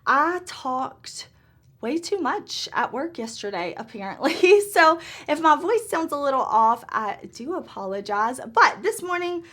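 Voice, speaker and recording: A woman, a little hoarse, chatting upbeat to viewers while doing her makeup. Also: sits high, very animated, unhurried, sharp tone. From Southern United States. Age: 30s. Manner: chatty and upbeat, laughs often. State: slightly hoarse. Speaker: beauty vlogger. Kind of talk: casual get-ready-with-me makeup chat.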